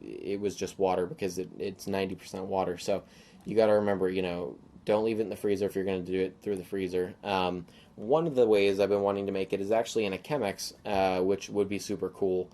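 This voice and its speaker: Slightly hoarse man rambling on a podcast. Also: deep voice, level tone, fast talker, dark tone. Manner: rambling, many fillers and restarts. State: slightly hoarse, sore throat. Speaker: podcast host. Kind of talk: casual solo podcast monologue.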